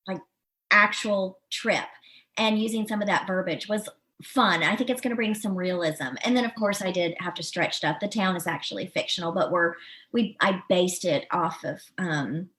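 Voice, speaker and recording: A woman's voice: mid-range voice, a bit bright. A woman speaking as an interview guest, informal and conversational, thinking aloud as she answers. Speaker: novelist being interviewed as podcast guest.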